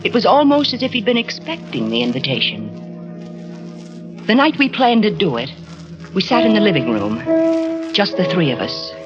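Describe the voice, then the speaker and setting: Casting: actress as torn, nervous wife in vintage radio suspense, dull old recording, music underneath. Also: female voice, medium pitch, big pitch swings, moderate pace, pauses often, natural voice. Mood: somber recounting. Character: anxious, conflicted unfaithful wife drawn into murder. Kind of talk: 1940s radio drama acting. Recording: muffled vintage 1940s radio, music behind.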